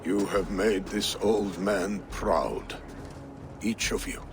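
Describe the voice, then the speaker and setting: Aged Viking patriarch: deep, unhurried, proud, music behind. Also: male voice, steady tone. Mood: proud, tender. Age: older. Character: proud old Viking jarl, a dying father. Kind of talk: dramatic video game acting.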